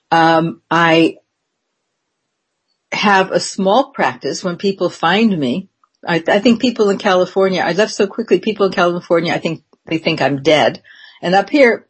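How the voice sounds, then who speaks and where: Husky older woman in her seventies. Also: on the low side. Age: 70s. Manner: meandering, frequent ums and restarts. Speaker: interviewee. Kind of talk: reflective conversational interview.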